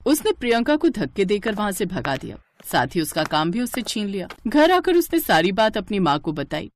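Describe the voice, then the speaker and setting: A woman tells a scripted moral story. Her voice is mid-pitched, and her intonation rises and falls a great deal as she narrates. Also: very animated, moderate pace.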